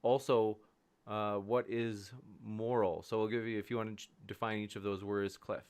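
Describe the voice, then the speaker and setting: A man's voice: on the low side, level tone, moderate pace, a little mellow, clean tone. A man moderating a debate, reading viewer questions aloud casually.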